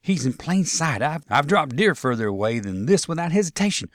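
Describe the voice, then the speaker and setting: A man's voice: fairly high pitch, lively intonation, a little fast, dry recording, studio quality, pitched above the performer's own voice, close to an everyday voice. A man voicing an ashamed, pleading young Confederate sharpshooter, stammering in a story reading.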